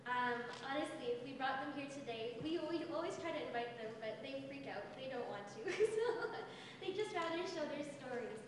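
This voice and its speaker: A woman's voice: sits high, expressive, moderate pace, crowd noise. A woman teacher presenting to an audience, speaking directly and engagingly to the room. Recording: echoey hall, far from mic.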